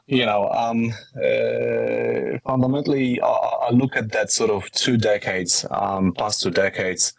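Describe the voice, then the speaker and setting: A middle-aged man with a Polish accent answering interview questions conversationally, in halting phrases padded with asides. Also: fairly low pitch, animated, creaky, dry recording. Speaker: podcast guest, company managing director.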